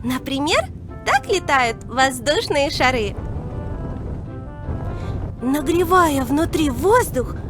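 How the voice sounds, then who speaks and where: A woman's voice: high voice, big pitch swings, slow, long pauses, over music, full character voice. A woman voicing a cheerful, know-it-all talking encyclopedia who explains things in a friendly way for a children's cartoon. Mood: amused, explaining. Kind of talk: children's educational cartoon acting.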